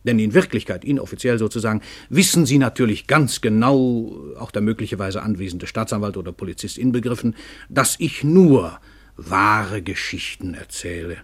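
Husky, low-voiced, self-important famous novelist. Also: male voice, lively intonation, moderate pace. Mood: ironic, confiding. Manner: theatrical, ironic, hesitant asides to the listeners. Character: brash, dissolute, self-important world-famous male novelist. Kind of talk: radio play monologue, narrating to the audience.

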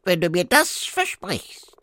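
A man voicing a male frog in a fairy tale, in a high, drawn-out, croaking way. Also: big pitch swings, pushed and bright, no echo, heavily acted. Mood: pleading. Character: pleading slow-voiced male frog.

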